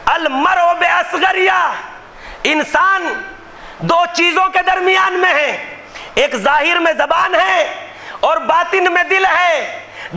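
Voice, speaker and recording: A man delivering a Muharram majlis sermon in impassioned oratory, shouting many lines. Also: extremely high pitch. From Gujarat, India. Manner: impassioned, declaiming, often shouting rhetorical lines. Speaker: maulana preaching a majlis. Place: religious gathering hall.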